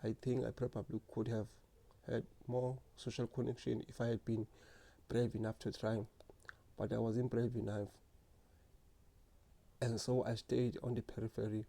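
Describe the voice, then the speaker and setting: A man telling his own story in a slow, earnest, reflective way, stuttering often with repeated words and restarts. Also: mid-range voice, little pitch movement, gravelly, dark tone. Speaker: speaker sharing lived experience. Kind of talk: personal reflective monologue.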